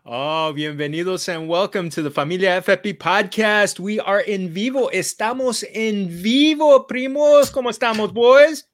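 A middle-aged man hosting a casual podcast chat, animated, tossing in Spanish exclamations, often restarting sentences. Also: high voice, normal speed, few pauses. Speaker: podcast host.

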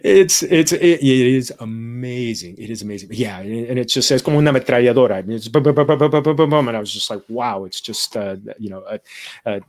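A man's voice: mid-range voice, animated, fairly quick, a little mellow, no room sound, clean studio sound. A man hosting a podcast, chatting casually, slipping Spanish words into his English between frequent fillers. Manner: mixes Spanish phrases into English, many fillers. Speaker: podcast host. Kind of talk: casual interview chat.

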